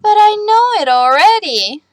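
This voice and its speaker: A woman's voice: very high voice, sing-song, unhurried, dry recording, studio quality, voice pushed up, full character voice. A woman voicing an eager, easily disappointed little schoolboy in a children's storybook, with childlike earnestness. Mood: protesting.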